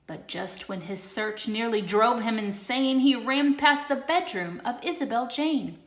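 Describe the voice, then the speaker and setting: A woman teacher reading a rhyming picture book aloud, expressive, moving between loud lines and hushed ones. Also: fairly high pitch, unhurried. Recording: roomy echo.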